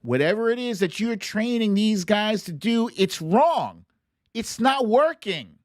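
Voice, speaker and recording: A middle-aged man with an American accent hosts a casual show, speaking at an unhurried pace. He lands short phrases with emphasis and deliberate pauses, moving through opinion and storytelling. The sound is dry, with little room on it. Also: sits high, lively intonation, dry recording. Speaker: show host. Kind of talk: casual monologue, commentary and storytelling on a livestream.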